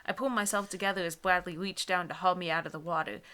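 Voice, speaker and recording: A woman's voice: on the low side, steady tone, fast talker, sharp tone. A young adult woman co-hosting a dramatic book reading, mixing narration with sarcastic, exasperated casual commentary. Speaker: co-host reading bad books aloud.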